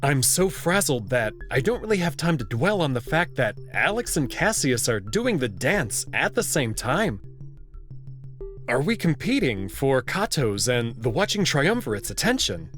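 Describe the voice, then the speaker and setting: A man reading a visual novel aloud in the first person, expressive and prone to sudden outbursts. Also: mid-range voice, a little slow, faint music behind.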